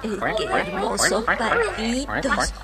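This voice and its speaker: A woman playing a haughty, important old duck in a children's storybook recording, condescending and drawn-out in manner. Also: a little high, wildly swooping pitch, a loud crowd, exaggerated voice. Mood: amused, condescending.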